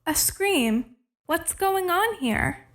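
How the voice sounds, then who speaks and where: A woman's voice: very high voice, lively intonation, drawn-out words, fairly bright tone, heavily acted. A woman playing a matter-of-fact, mildly impatient police officer who keeps the peace in a radio play. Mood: questioning.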